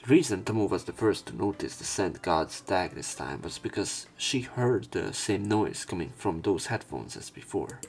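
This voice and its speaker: A man's voice: low voice, lively intonation, normal speed, gravelly, few pauses, a little mellow. A man narrating a visual novel let's play, reading the text aloud and adding his own asides.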